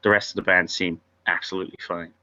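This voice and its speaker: A man's voice: low voice, level tone, fast, sharp tone. A man reading out stage directions as narrator at a script table read, on a lo-fi recording.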